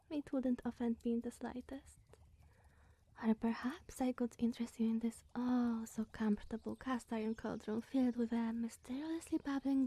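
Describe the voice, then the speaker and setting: Woman playing a breathy, high-voiced flustered witch. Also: warm tone. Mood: eager, hospitable. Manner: rambling, awkward, quaintly wordy and apologetic. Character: flustered, eccentric young witch groundskeeper, clingy mad scientist. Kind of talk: ASMR character roleplay.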